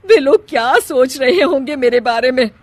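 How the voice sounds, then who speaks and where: A woman's voice: high-pitched, expressive, moderate pace, no echo. Woman acting an exasperated, nagging Indian mother-in-law. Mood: scolding. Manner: loud, nagging, complaining. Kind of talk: Hindi cartoon family story.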